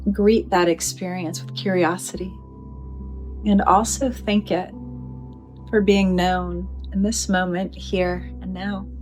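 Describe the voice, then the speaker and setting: Slow, gentle woman guiding meditation. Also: fairly low pitch. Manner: gentle, soothing, deliberate phrasing with pauses. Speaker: therapist leading a guided meditation. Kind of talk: guided meditation narration.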